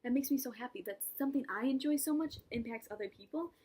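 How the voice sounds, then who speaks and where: A woman's voice: sits high, fast, dark tone, breathy edge. A young woman talking casually to camera in a vlog, rambling and self-mocking, with laughs and whispered asides. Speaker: vlogger.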